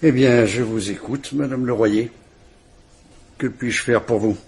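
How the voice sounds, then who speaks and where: An actor playing a patient, courteous police inspector who speaks in a calm, dry way. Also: male voice, medium pitch, measured pace, slightly rough. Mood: courteous, probing. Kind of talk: 1950s French radio drama acting.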